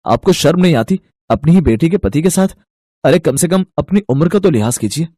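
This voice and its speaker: A decent young husband, voiced by a man in a moral story. His voice sits a little high and sounds earnest and reproachful. It is recorded dry. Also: animated, fairly quick, dark tone, dry recording. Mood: uneasy, reproachful. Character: decent young husband, uneasy and disapproving son-in-law. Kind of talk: Hindi moral-story cartoon voice acting.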